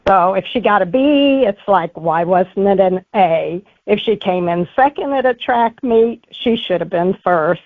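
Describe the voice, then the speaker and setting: A woman's voice: mid-range voice, expressive, a little slow, few pauses, dry recording. A woman being interviewed as an author, giving conversational answers over a thin phone-like connection. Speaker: author guest.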